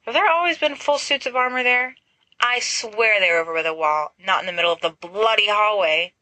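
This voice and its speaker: A woman's voice: mid-range voice, brisk, pushed and bright, no room sound, pitched above the performer's own voice, close to an everyday voice, low-quality recording. Woman as a melodramatic, grumbling teenage boy. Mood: indignant.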